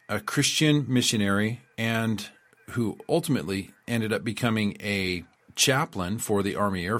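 A man's voice: medium pitch, a bit bright. A man with a middle-range voice hosts a radio show. He speaks informally and instructionally, in an earnest, explanatory manner.

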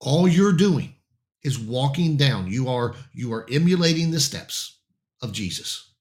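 A man teaching a Bible passage verse by verse on air, slightly hoarse and raspy, with halting restarts and frequent "okay?" checks. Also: mid-range voice, animated, darkish tone. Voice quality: raspy voice in the morning. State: slightly hoarse, clearing throat.

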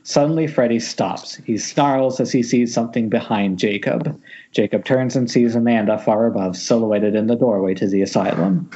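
A man with a mid-pitched voice narrates stage directions in an informal, wry way. The audio is dull and lo-fi, like an internet call. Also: moderate pace, mellow. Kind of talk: informal script table read narration.

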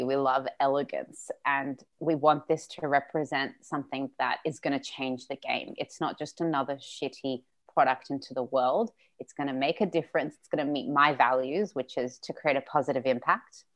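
A young woman with a low-pitched voice tells her story as an interview guest. She is earnest and chatty, breaks into laughter often and drops the odd swear word. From Australia. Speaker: guest, business founder. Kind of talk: casual interview chat.

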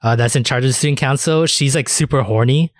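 A man in a dry-sounding recording, talking as a podcast co-host. His voice sits at an ordinary male pitch. He chats informally about anime, with crude wording and swearing. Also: fast talker, no echo. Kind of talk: casual chat about anime.

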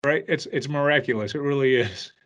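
A man's voice: mid-range voice, fairly quick, a bit bright. A man hosting a podcast in a polished, upbeat way, reading an intro and asking interview questions. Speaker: podcast host.

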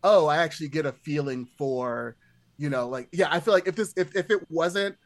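A man's voice: fairly high pitch, moderate pace. A man talking casually about film as a podcast guest, in rambling, circling sentences peppered with "like".

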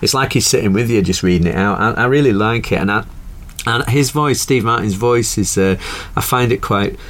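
A man's voice: fairly low pitch, fairly quick, a bit bright. A man co-hosting a podcast, chatting with enthusiasm and laughing easily. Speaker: podcast co-host. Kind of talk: casual chat.

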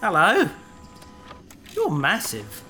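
A man's voice: high-pitched, huge pitch swings, very slow, sharp tone, a little hiss or hum, full character voice. A man playing an injured, dazed human researcher in a comic sci-fi audio drama, rambling in a guileless, childlike way.

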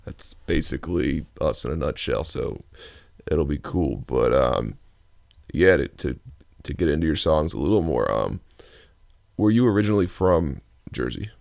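A man with a deep baritone voice hosting a casual interview, talking with frequent ums and restarts. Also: lively intonation, moderate pace, gravelly, a little mellow, no echo, clean studio sound. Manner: hesitant, many ums and restarts. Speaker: podcast host.

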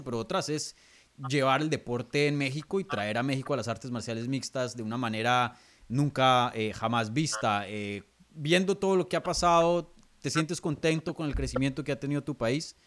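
A man's voice: mid-range voice, fairly bright tone. A man hosting an interview, asking long, rambling questions with hesitant fillers. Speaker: interviewer, host.

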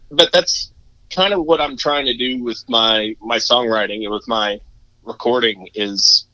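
A man with a naturally low voice chats loosely as an interview guest, rambling and self-deprecating. His tone is bright and tense. The recording is dry and muffled. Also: dry recording. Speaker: musician guest. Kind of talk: casual interview chat.

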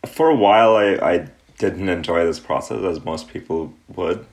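Very deep-voiced young man from Pakistan. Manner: thoughtful, with frequent ums and pauses. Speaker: artist, interview guest. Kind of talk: relaxed reflective interview answers.

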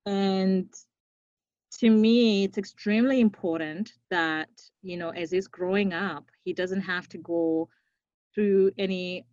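A young woman from Zimbabwe, a podcast guest, speaking earnestly and reflectively, now and then with a soft laugh. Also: medium pitch, no room sound, muffled recording. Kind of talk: conversational interview.

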